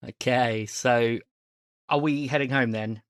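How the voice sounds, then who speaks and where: A man's voice: mid-range voice, fairly even intonation, slow pace, clear, resonant tone, no room sound, clean studio sound. A man running a tabletop game as game master, narrating and explaining rules casually.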